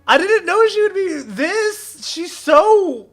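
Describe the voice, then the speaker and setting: A woman's voice: very high voice, expressive, slow, slight background noise. A woman giving casual, comedic live commentary as she streams a game. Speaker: streamer reacting to game dialogue.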